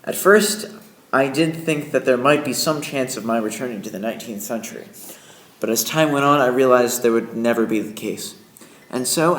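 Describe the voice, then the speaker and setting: A man's voice: mid-range voice, close to an everyday voice. A man playing a flustered, indignant Yankee wizard, narrating an old-time radio play with theatrical, emphatic delivery. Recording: echoey room, music behind.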